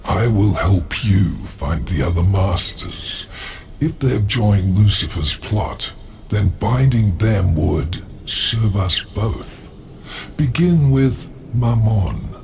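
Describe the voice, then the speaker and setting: Scheming game demon: low, very gravelly, menacingly polite, condescending, over noisy ambience. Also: male voice, lively intonation, slow pace, a little mellow, exaggerated voice. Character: sly, lordly demon schemer, menacingly courteous. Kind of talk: video game cutscene acting.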